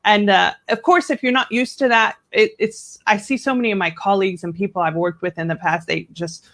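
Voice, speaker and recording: A woman from the United States, a guest English coach, telling workplace stories in an animated way and acting out the quoted lines in a higher voice. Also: fairly quick. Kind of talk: conversational storytelling interview.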